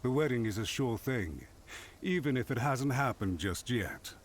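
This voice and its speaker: A man's voice: mid-range voice, expressive, normal speed, a little hiss or hum, lightly acted. A man voicing a haughty, scheming clan official in an anime-style game, formal, pompous and emphatic. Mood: defiant.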